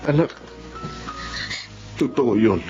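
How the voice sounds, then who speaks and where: A man's voice: medium pitch, slow, lightly acted. A man acting a devoted, grieving father in a Tagalog radio drama. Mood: tender, pained. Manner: earnest, emotional. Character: heartbroken, devoted father and abandoned husband, Kaloy. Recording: muffled audio with music behind.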